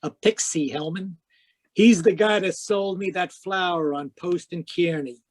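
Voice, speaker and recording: An actor playing a world-weary, wisecracking private detective in 1940s radio noir style. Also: male voice, high-pitched, unhurried, no room sound. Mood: terse, cynical. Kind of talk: 1940s radio noir acting, first-person narration. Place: home room, live video call performance.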